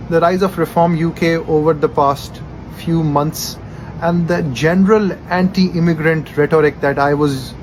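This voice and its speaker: A man's voice: fairly high pitch, low-quality recording. A man from Pakistan with a Pakistani accent, a doctor candidly explaining in a vlog why he moved abroad. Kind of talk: personal vlog testimony. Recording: background noise.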